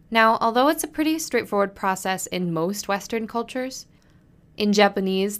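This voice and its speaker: A woman hosts an instructional podcast and explains each step in a clear, friendly teaching voice. Her pitch sits in the middle range. She slows down and says each Japanese phrase carefully for listeners to repeat. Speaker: podcast host and business culture teacher. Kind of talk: scripted podcast lesson.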